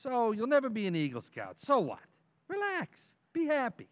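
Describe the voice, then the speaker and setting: A man's voice: very high voice, wildly swooping pitch, moderate pace, muffled recording, exaggerated voice. A man playing a brash, cynical wise-guy buddy in an old-time radio comedy, needling and cocksure. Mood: scornful, goading.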